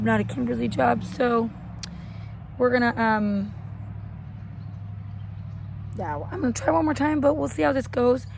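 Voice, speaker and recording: A woman with a hoarse, scratchy voice chatting casually and practically about her home garden, outdoors on an earbud mic. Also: a little high, a little mellow. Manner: chatty, practical, self-deprecating. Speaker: homesteading vlogger. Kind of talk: casual how-to chat. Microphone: wireless earbud mic.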